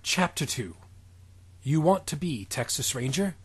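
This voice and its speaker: Husky man, mock-pompous podcast host. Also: a little high, expressive, unhurried. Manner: dry, pompous announcer tone. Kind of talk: mock-formal podcast hosting.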